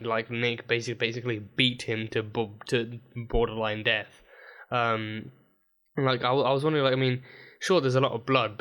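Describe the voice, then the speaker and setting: Croaky-voiced man rambling through a review. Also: mid-range voice, bright, forceful tone. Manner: rambling, many fillers and restarts. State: dry, croaky throat with coughs. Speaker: TV episode reviewer. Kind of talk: casual reaction video recap.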